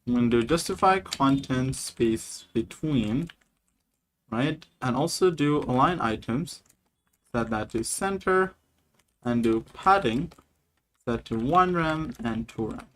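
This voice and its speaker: A man's voice: medium pitch, expressive, slow, a bit bright. A man from Nepal on a live coding stream, casually dictating each line of code and chatting with viewers. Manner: casual, talks through each step while typing.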